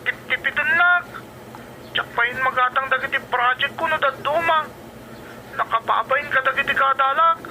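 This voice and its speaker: A man's voice: very high-pitched, moderate pace, pushed and bright, a little hiss or hum, heavily acted, low-quality recording. A man playing a spoiled, wheedling teenage student in a radio drama, whiny and pleading, with a Filipino accent.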